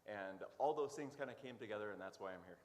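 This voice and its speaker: A man being interviewed as a guest, speaking informally in a halting, hesitant way with many pauses. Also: lowish voice. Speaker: interview guest, church worker. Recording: echoey hall, noticeable background noise.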